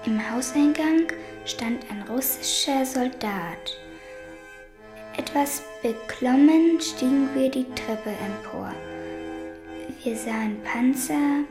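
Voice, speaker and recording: A woman reciting an eyewitness account for a radio feature in measured, separated phrases, in an echoey room. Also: sits high. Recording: echoey room under loud music.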